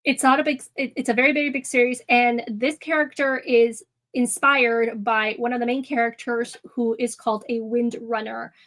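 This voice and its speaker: A woman's voice: fairly high pitch, flat delivery, moderate pace. A woman hosting an art livestream, chatting casually with viewers, rambling with lots of ums and restarts and easy laughs. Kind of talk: casual chat with live chat. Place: home room. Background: soft music.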